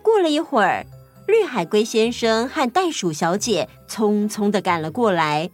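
A woman's voice: mid-range voice, big pitch swings, moderate pace, faint music behind. A woman narrating a scripted detective story for children as a storyteller.

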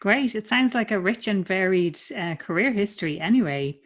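A woman hosting a podcast interview, chatty and upbeat, her voice still a little hoarse from being ill. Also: medium pitch, animated, normal speed. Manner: chatty, upbeat, loose phrasing. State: recovering from illness, slightly hoarse. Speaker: podcast host.

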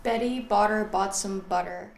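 A woman's voice: medium pitch, normal speed. A native Spanish-speaking woman with a slight accent, carefully practising pronunciation as a student in a roomy, noisy classroom.

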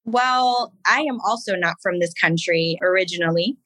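A woman in her thirties from Haiti, a podcast guest telling her story in an unhurried, reflective way with fillers. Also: lowish voice, pushed and bright, no room sound. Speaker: guest, business owner and Navy veteran. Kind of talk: casual interview talk.

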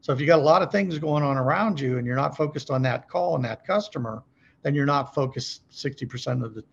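A man's voice: mid-range voice, fast talker, creaky, breathy edge, muffled recording. A man giving conversational coaching as a podcast guest, speaking emphatically and checking in with short rhetorical questions. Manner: emphatic, asks rhetorical check questions, acts out sample calls. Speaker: podcast guest, car dealership service trainer.

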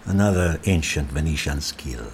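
Grave, low-voiced fatalistic man. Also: moderate pace, a little hiss or hum. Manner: grave, deadpan. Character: fatalistic Venetian man desperate to escape death. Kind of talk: audio drama acting.

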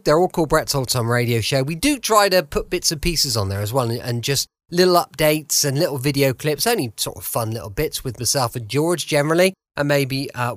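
A man's voice: on the high side, fairly bright tone, no echo, clean studio sound. An English-accented man hosting a podcast from home, chatty and enthusiastic as he introduces the show. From United Kingdom. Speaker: podcast host. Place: home, close dry microphone.

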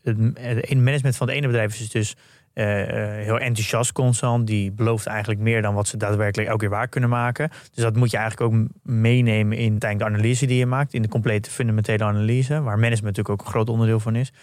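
A man's voice: on the low side, flat delivery, fast talker, a little mellow, no echo, studio quality. A man hosting a podcast, summing up points conversationally. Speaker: podcast host. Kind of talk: conversational summarising.

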